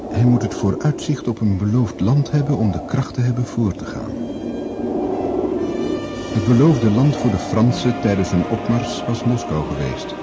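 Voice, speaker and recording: A man narrating a radio drama in a measured, formal, literary storytelling manner. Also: lowish voice, dull audio. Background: music.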